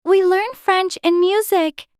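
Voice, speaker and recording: A woman voicing a curious, polite little girl, eager and quick to take offence, in a dramatized audiobook. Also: very high-pitched, fairly even intonation, no room sound, clean studio sound, voice pushed up. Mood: proud. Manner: eager, questioning, childlike.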